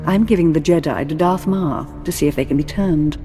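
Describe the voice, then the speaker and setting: Woman acting an imperious, seductive dark-side ruler. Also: low voice, fairly quick, lightly acted. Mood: haughty, sultry. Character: imperious, seductive female Sith lord and governor. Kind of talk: dramatic video game dialogue. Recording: long reverb, music behind.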